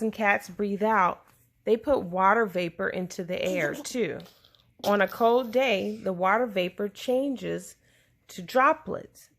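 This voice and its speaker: A woman reading a children's science picture book aloud, phrasing it simply and deliberately for a young listener. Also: mid-range voice, vocal fry.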